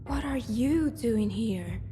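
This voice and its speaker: Game companion, actress as scared young runaway, halting and very slow, soft dark tone, faint music. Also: female voice, on the high side, lively intonation. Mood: frightened, pleading. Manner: halting, pleading, timid. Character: frightened, pleading young runaway girl.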